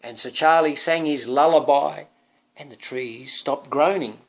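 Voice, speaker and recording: A man's voice: a little high, unhurried, rough recording. A man, a father, reading a picture book aloud to his young son, drawing out words expressively and adding chatty asides that prompt the child.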